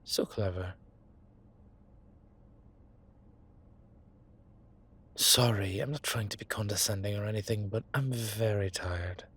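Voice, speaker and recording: A man playing a suave, sentimental vampire in a scripted audio roleplay, speaking in measured, wry, formal phrases with a husky, breathy voice. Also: lowish voice, fairly bright tone. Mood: weary, amused. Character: suave, sentimental male vampire, tired and scheming. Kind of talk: scripted intimate audio roleplay, one side of a conversation.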